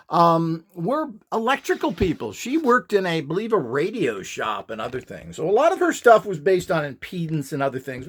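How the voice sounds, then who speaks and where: A man's voice: on the high side, a bit bright. A man giving an informal, unscripted demonstration as an instructor, opinionated and dismissive in his way of talking.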